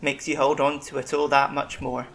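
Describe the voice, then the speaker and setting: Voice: mid-range voice, flat delivery, moderate pace, a bit bright, lightly acted. An actor playing an eccentric, long-lived alien medic in an audio drama, reflective, kindly and wryly self-deprecating. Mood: wistful, wry.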